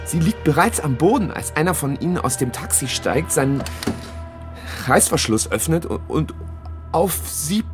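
A man's voice: on the high side, expressive, moderate pace, over music, natural voice. An actor playing a young man who bluntly retells a brutal story about his girlfriend, in coarse words. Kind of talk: radio drama monologue.

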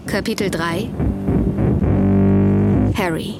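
A woman's voice: medium pitch, slow pace. A woman announcer reading scripted titles and credits for a radio drama. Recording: loud music behind.

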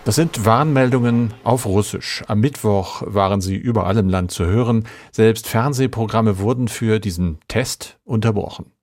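A man's voice: fairly low pitch, normal speed, hardly any pauses, a little mellow. A man hosting a podcast, reading scripted moderation. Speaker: podcast host.

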